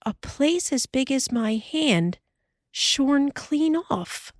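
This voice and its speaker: A woman reading aloud who voices a calm, practical frontier mother in a raised, soft, sleepy voice. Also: high-pitched, drawn-out words, no room sound, studio quality, voice pushed up, heavily acted. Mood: alarmed. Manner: soft, sleepy, then alarmed.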